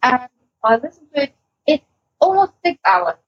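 A woman chatting casually as a podcast co-host, enthusiastic and speaking in halting phrases. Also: high-pitched, animated, vocal fry. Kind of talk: casual podcast chat, recommending audio stories. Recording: muffled, lo-fi voice recording.

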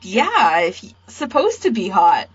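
A woman's voice: medium pitch, huge pitch swings, measured pace, breathy edge. A woman talking casually in a podcast discussion about fan audio. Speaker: podcast speaker, fan podficker. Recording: dull lo-fi voice recording.

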